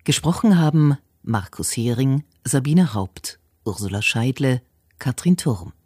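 A woman makes formal radio announcements in a factual, scripted style. The voice is mellow and somewhat husky, recorded very dry and close. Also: extremely low pitch, expressive, measured pace, no echo. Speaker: announcer of title and credits.

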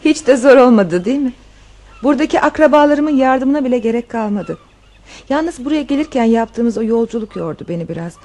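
Radio play role: devoted, wealthy countess female performer, soft mellow tone on the higher side, refined romantic lead. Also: animated, fairly quick, lightly acted. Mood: relieved, chatty. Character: wealthy countess fleeing revolution, tender and devoted lover. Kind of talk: radio drama acting.

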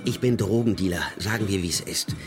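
A man's voice: deep voice, brisk, music in the background. A man playing a cocky, casual drug dealer who talks back flippantly and unfazed in a naturalistic radio drama. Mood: unfazed, dismissive.

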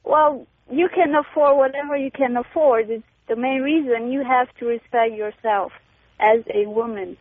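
A woman's voice: high-pitched, moderate pace, no echo. A woman in her twenties with a Romanian accent, calling in to a radio show, speaking earnestly and firmly. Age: 20s. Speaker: caller. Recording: dry, tinny phone line.

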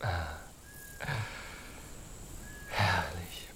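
A man playing a gentle, contented, dreamy piglet who sighs and often speaks in a hush in a children's radio play. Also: low-pitched, steady tone, a little hiss or hum, full character voice. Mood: blissful. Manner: sighing, slow, often hushed.

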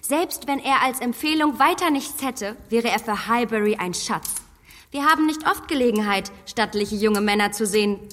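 A woman's voice: on the high side, normal speed, a bit bright, in a large hall, lightly acted. An actress playing a spirited young lady who argues with poise, in a radio drama. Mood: poised. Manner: poised, argumentative.

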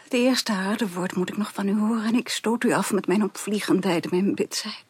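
Young woman played by an actress, radio drama, very breathy and husky, anguished, halting, despairing, quick-tempered. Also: mid-range voice, lightly acted. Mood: despairing, confessional. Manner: anguished, halting, often near whispering. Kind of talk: Dutch radio play acting.

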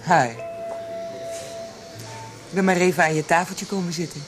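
An actress playing a blunt, cynical young bar prostitute in a radio play. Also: female voice, lowish voice, fairly even intonation, moderate pace, over music, lightly acted. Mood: teasing. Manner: teasing, blunt.

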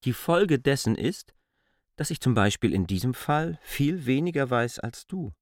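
An actor playing a coolly reasoning, insistent man who lectures deliberately and articulately in a literary radio play. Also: mid-range voice, expressive, no echo, studio quality, close to an everyday voice. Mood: reasoning, self-searching.

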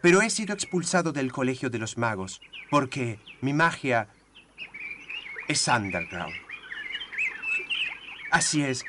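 A man's voice: mid-range voice, sing-song, a little hiss or hum. Man playing a theatrical, swooping eccentric underground wizard. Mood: boastful. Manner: theatrical, solemn pauses. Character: eccentric underground male wizard, grandly mysterious, courtly. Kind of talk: improvised comic radio sketch acting. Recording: loud music behind the voice.

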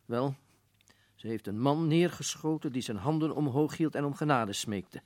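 A man's voice: medium pitch, moderate pace, darkish tone, no echo, lightly acted. A man playing a firm, matter-of-fact older prison governor in a radio play. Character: stern older male prison governor, a colonel.

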